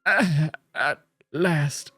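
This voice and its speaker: A streamer voicing a wounded, lonely male monster in a story game, pleading and bitter in a halting, stammering, drawn-out way. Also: medium pitch, a bit bright, breathy edge, no room sound, faint music behind, voice pushed up, exaggerated voice. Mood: sorrowful, bitter.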